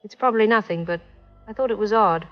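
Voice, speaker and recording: A woman playing an uneasy, apologetic, halting caller to a radio show in a drama. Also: medium pitch, muffled recording, soft background music, natural voice.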